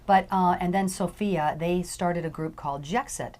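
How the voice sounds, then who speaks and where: A woman hosting a talk radio interview from a tour bus, gushing and rambling excitedly. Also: fairly low pitch, normal speed. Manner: gushing, rambling, with many fillers. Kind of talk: casual radio interview chat.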